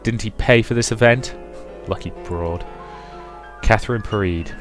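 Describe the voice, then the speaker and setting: A man's voice: fairly low pitch, a little slow, music in the background, close to an everyday voice. A man voicing gossiping, leering concert-goers in a visual novel, with a dismissive manner.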